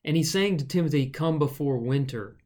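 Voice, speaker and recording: Man thinking out loud about a bible letter, kinda rambly, cracks a joke now and then. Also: on the high side, a little fast. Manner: thoughtful, rambling, jokey asides. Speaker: podcast co-host. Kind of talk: casual Bible study discussion.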